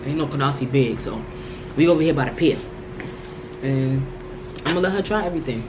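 Relaxed young woman vlogging, background noise. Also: a bass voice, brisk. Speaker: vlog co-host. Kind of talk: casual food review chat. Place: small indoor room, seated at a table.

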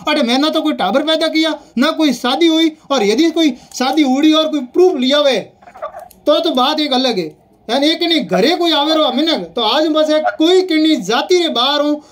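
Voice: sits high, moderate pace. A speaker with a Rajasthani accent arguing heatedly and insistently on a phone call, often repeating points. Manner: insistent, repetitive.

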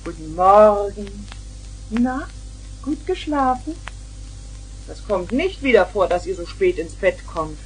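Motherly mum for a children's radio play, expressive and explaining. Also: mid-range voice, slow pace, pauses often, rough recording. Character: caring mother talking to her young daughters. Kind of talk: 1970s German children's radio play acting. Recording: 1970s vinyl record, hissy.

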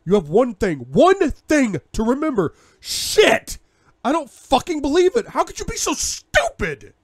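A man voicing a furious, controlling young man who snarls and shouts with heavy swearing in an audiobook. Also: low-pitched, fairly even intonation, unhurried, no echo, voice pushed down.

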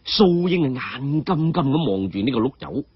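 A man's voice: mid-range voice, big pitch swings, normal speed, dull audio. A man narrating a story dramatically.

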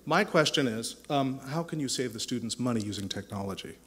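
A middle-aged man stands in a campus lecture hall and asks a rambling, apologetic question into a handheld mic. His voice has an average pitch for a man. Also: lively intonation, fairly quick, fairly bright tone, slight background noise. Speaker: audience member asking a question.

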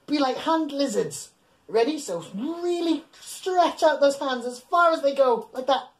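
A man's voice: very high-pitched, expressive, slight background noise, rough recording. A man in his thirties reading aloud to young children in a playful, exaggerated, encouraging way, recorded in a small room at home. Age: 30s. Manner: addresses listeners with questions and pauses. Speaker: children's bedtime storyteller. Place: small home room full of soft toys.

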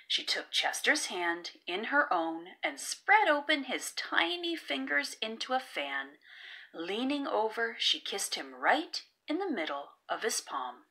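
A woman narrates a children's story. Her voice is fairly high and bright, and she reads with careful, expressive storytelling and widely moving pitch. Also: a little slow. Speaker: read-aloud storyteller. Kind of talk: children's picture book narration.

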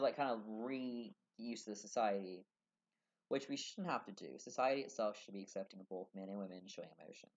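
Young male vlogger, low-ish voice, earnest and rambling, muffled webcam sound. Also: a little mellow. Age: young adult. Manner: earnest, rambling, many fillers and restarts. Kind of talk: casual talk to camera. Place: small room indoors. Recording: dull lo-fi webcam audio.

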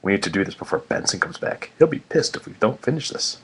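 A man's voice: fairly low pitch, expressive, quick pace, slightly rough, voice pushed down. A man voicing a reluctant, anxious cartoon blue jay slacker, cautious and exasperated, in a fan fiction reading.

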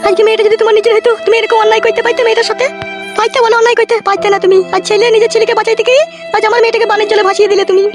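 A foul-mouthed, bossy cartoon mother from the village, scolding in coarse slang. Her artificially raised voice is extremely high and races along, with music clearly playing behind her. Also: flat delivery, very fast. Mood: angry accusing. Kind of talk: comic cartoon dialogue.

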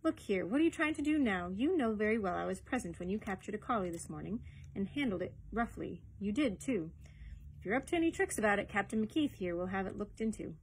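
A woman's voice: mid-range voice, expressive, a little fast, slight background noise, lightly acted, low-quality recording. A woman voicing an outspoken, emotional young lady in a storybook reading. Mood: indignant. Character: indignant, outspoken young lady demanding answers.

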